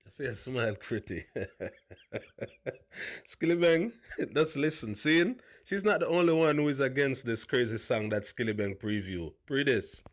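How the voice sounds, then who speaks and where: A man with a big voice, hosting a casual commentary vlog in a loud, hyped way. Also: mid-range voice, lively intonation, a little slow. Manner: loud, hype, calls viewers fam. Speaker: host.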